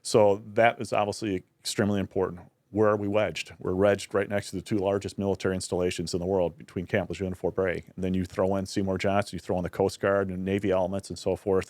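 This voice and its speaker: Guest, male, low voice, dry close mic, earnest explainer. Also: level tone, fairly quick, dry recording. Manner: earnest, explanatory, frequent restarts. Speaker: university director of military affairs, guest. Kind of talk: casual podcast conversation.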